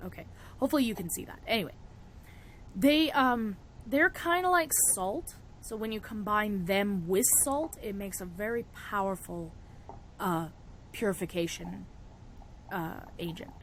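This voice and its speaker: A young woman with a cold-roughened, raspy voice, chatting casually through a spell-making tutorial and laughing often. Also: mid-range voice, animated, measured pace, a bit bright, a little hiss or hum. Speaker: witchcraft vlogger.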